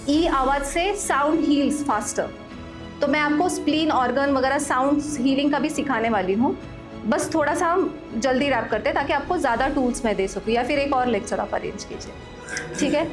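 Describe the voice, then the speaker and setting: A woman in her forties, a wellness coach leading a live workshop, lively, questioning the audience and giving step-by-step instructions while mixing in English phrases. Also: sits high. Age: 40s. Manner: mixes Hindi with English phrases. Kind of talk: live workshop talk to a group.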